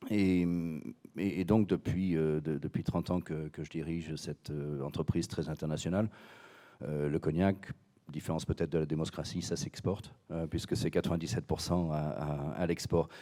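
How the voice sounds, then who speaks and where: A man's voice: very deep voice, steady tone, normal speed, warm tone. A man from France, head of a cognac firm, speaking hesitantly on a conference panel with many fillers and repeated words. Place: conference hall.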